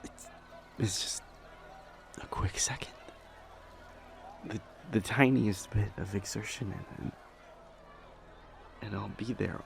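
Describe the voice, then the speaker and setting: A man's voice: on the low side, slight background noise. Man acting out an anguished, whispering demon lover. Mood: strained, desperate. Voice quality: breathy, whispery. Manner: halting, straining, repeats words. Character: anguished, devoted male demon lover, exhausted and tender. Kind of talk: intimate dramatic audio roleplay.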